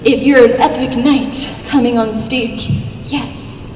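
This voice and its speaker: A young woman with a squeaky little voice giving an upbeat, chatty convention panel talk, speaking into a handheld mic in a large hall. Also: steady tone, takes it slowly, darkish tone, slight background noise. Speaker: cosplay contest judge giving a convention panel. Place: large convention hall, seated at a stage table. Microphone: handheld mic, filmed by a far camera. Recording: echoey hall, recorded from far off.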